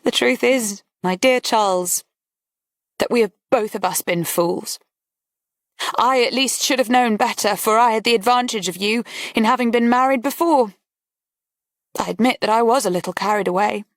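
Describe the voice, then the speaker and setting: A woman's voice: fairly high pitch, moderate pace, no echo, studio quality, pitched above the performer's own voice, close to an everyday voice. A woman voicing a scornful aristocratic lady who speaks in a languid, cutting, mocking way. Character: scornful, bored aristocratic lady breaking an engagement.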